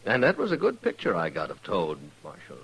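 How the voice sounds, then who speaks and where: An actor playing a smug, callous traveling photographer, glib and mannered, in a 1950s radio western. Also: male voice, sits low, huge pitch swings, fairly quick, gravelly, fairly bright tone, breathy edge, full character voice, poor audio. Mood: smug, amused. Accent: American. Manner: glib, self-satisfied, mannered.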